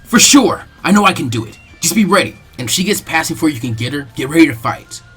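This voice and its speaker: A brash boy ninja voiced by a man, confident and defiant. He is blunt and hot-headed, in a fast-paced story reading over music. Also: on the high side, lively intonation, a bit bright, husky, heavily acted. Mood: fired up.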